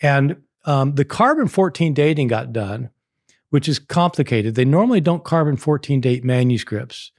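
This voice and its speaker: A man's voice: medium pitch, expressive, normal speed, darkish tone, dry recording, clean studio sound. Chatty older American man telling a story. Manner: chatty and anecdotal, laughs often. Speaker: guest scholar. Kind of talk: storytelling in a podcast interview.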